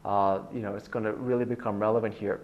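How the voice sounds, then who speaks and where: A man with a bass-baritone voice teaching a guitar lesson in an online video, explaining in a relaxed, rambling way with many fillers. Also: fast, lo-fi sound.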